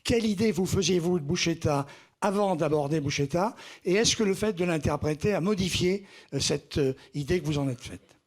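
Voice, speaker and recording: Formal male journalist, high voice. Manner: measured and formal. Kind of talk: formal press question. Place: press conference room.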